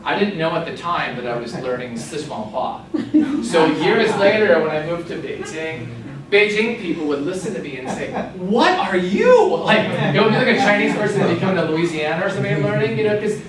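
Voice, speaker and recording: An American man in his forties giving an author talk to an audience, telling stories with lively asides. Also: sits high, a little fast, a crowd in the background. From United States. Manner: lively storytelling with asides and laughs. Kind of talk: illustrated lecture to an audience. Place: event room at a cultural institute. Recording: very echoey room, voice from a distance, clear background noise.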